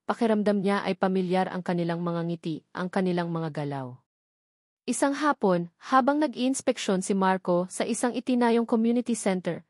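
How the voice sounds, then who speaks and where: A woman's voice: medium pitch, darkish tone, dry recording. Filipino woman narrating drama, dry sound. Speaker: story narrator. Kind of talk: dramatic scripted storytelling narration.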